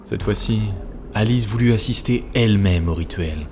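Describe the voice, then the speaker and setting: A man's voice: lowish voice, moderate pace, background noise. A man narrating a scripted story for a cartoon, as a storyteller. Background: music.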